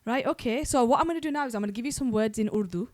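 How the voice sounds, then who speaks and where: A woman's voice: on the high side, a little mellow. Chatty young woman podcast host. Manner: chatty, quick, with frequent restarts. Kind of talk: casual sibling chat.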